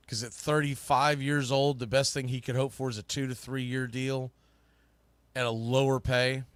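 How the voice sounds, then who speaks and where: A man's voice: medium pitch, a bit bright, smooth, dry recording. An American man hosting a baseball podcast, giving emphatic, opinionated, folksy off-the-cuff commentary. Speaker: baseball podcast host and broadcaster.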